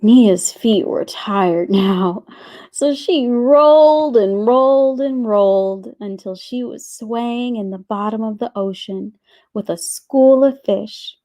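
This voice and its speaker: A woman reading a children's storybook aloud with expressive, deliberate phrasing. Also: on the high side. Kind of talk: scripted storybook narration.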